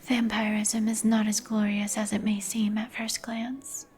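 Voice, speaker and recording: A woman playing a melancholy, courteous vampire in a breathy whisper, with formal, old-fashioned phrasing for an ASMR roleplay. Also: mid-range voice, little pitch movement, a little slow, a little mellow, close to an everyday voice. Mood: gloomy, cautioning. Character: melancholy, courteous vampire woman, turned young some 150 years ago. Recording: music behind the voice. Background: music.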